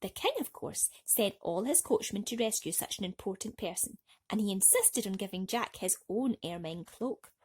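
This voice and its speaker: A woman's voice: medium pitch, animated, moderate pace, few pauses, a little mellow, husky. A woman storyteller reading a bedtime story to children theatrically, speaking directly to young listeners.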